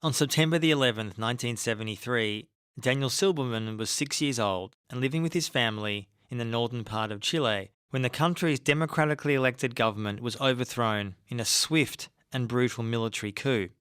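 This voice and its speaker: A man narrating a documentary podcast from a script, in a measured, even delivery. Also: mid-range voice, clean tone, no room sound, clean studio sound. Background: faint music.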